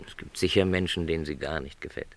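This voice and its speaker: An actor playing a gentle middle-aged travelling salesman in a literary radio play, earnest and reassuring in formal wording. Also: a bass voice, fairly even intonation, fast talker. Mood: gently encouraging.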